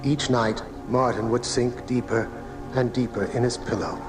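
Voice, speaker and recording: A man narrating a 1980s radio horror drama in a deliberate, dramatic way, recorded off the air. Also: mid-range voice, flat delivery, loud music behind the voice. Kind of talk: slow, eerie horror storytelling for 1980s radio.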